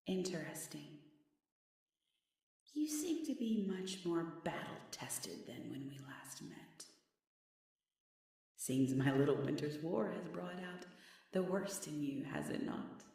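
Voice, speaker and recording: A cruel half-demoness, half-witch villainess voiced by a woman taunts her foe with imperious, theatrical menace. Her voice has a creaky edge and rings with heavy echo, slightly away from the mic, in the manner of a fantasy ASMR roleplay. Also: sits low, a little mellow, exaggerated voice. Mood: mocking. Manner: mocking, imperious, theatrical menace with slow drawn-out pauses. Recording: very echoey, slightly distant.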